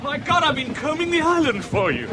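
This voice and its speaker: A man's voice: very high voice, big pitch swings, brisk, noisy background. An actor playing an indignant, lovestruck British merchant banker who questions insistently and narrates his own story in a radio drama. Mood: pressing, urgent.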